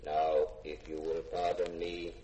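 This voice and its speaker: Robot servant: slow, measured, deadpan and courteous; noisy vintage radio drama recording. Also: male voice, extremely low pitch, dull audio. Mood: formal, unflappable. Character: polite, literal-minded servant robot, formal and unflappable. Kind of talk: 1950s radio drama acting. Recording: echoey, noisy old radio broadcast.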